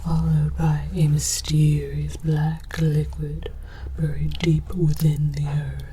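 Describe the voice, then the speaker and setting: A woman whispering scripted ASMR narration as an alien archaeologist, with a deliberate, theatrical, mock-scholarly delivery. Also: low-pitched, monotone, warm tone, a little hiss or hum. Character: alien archaeologist researcher, scholarly and condescending about humans. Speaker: host of an ASMR series.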